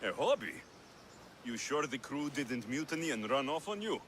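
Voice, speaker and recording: A man's voice: medium pitch, sing-song, moderate pace, a bit bright, a little hiss or hum. A man playing a confident young Greek mercenary hero in a video game, with a dry, wry and direct manner. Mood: dry, businesslike. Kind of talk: video game dialogue acting.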